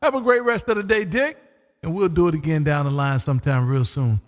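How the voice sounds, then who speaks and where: A man hosting a live ham radio stream, chatting casually and folksy, his voice going hoarse. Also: on the high side, fairly quick, in a large hall. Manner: laid-back, folksy, says "man" often. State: losing his voice. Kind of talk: casual on-air radio chat.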